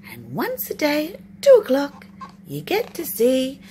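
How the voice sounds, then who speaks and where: A woman voicing a sneaky, flattering male fox from a children's storybook, with a pushy salesman's patter. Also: high voice, a little slow, pitched above the performer's own voice, full character voice. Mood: eager selling. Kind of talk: children's storybook read aloud. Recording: noisy home recording.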